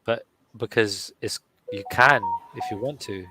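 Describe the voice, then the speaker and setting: A man from West London with a West London accent, talking over others in a casual live chat, quick and defensive. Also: lowish voice, background noise. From West London, England. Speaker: guest poet on a live audio room.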